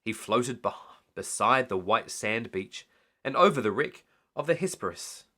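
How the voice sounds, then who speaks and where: A man reading a children's bedtime story aloud in an animated storytelling style. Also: lowish voice, a little slow.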